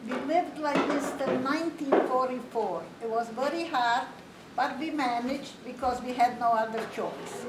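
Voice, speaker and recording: An older woman from Romania, giving a slow, plain, matter-of-fact spoken testimony to an audience. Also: sits high. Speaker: Holocaust survivor speaker. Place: community hall. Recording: roomy, distant, faint hiss.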